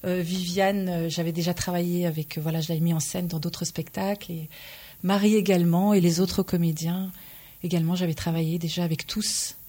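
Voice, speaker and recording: A woman from Belgium, a playwright and stage director, answering interview questions in an earnest, measured way with frequent pauses. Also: fairly low pitch, flat delivery, warm tone.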